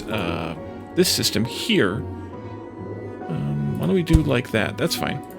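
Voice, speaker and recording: A man's voice: medium pitch, creaky. A man giving casual let's play commentary, thinking aloud and musing to himself as he plays.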